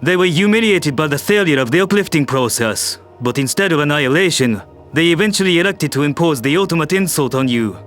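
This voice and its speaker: A man's voice: fairly high pitch, animated, brisk, hardly any pauses, fairly bright tone. A man playing an authoritative, formal Secretary General in an audio drama. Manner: formal, declarative. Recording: music bed under voice.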